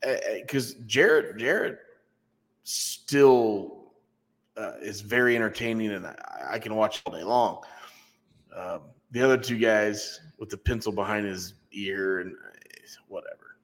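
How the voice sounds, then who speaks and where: A man's voice: fairly low pitch, expressive, measured pace, fairly bright tone, breathy edge, long reverb tail. A man co-hosting a wrestling review podcast in a casual, joking way. He makes folksy asides and often stops and restarts his sentences. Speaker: podcast co-host.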